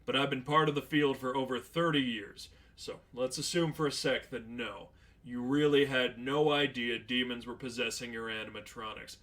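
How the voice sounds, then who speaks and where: A man's voice: mid-range voice, fairly even intonation, normal speed, fairly bright tone, natural voice. A man playing a sardonic, unflappable veteran demon hunter in a story reading, matter-of-fact and flippant. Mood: unamused, sardonic. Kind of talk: dramatized fan fiction reading.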